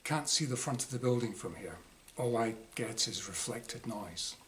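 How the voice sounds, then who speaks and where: A man reads a first-person diary story as its author, with a bright, forward voice. The delivery is wry and exasperated, shaped like a performed monologue. Some background noise sits behind him. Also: medium pitch, animated, moderate pace. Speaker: author reading own short story.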